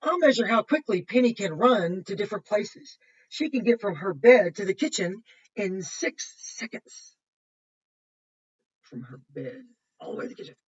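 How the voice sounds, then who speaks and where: A woman's voice: mid-range voice, big pitch swings, moderate pace, slightly rough. A woman kindergarten teacher reading a picture book aloud to young children, with simple explanations and chatty asides. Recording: muffled, distant microphone.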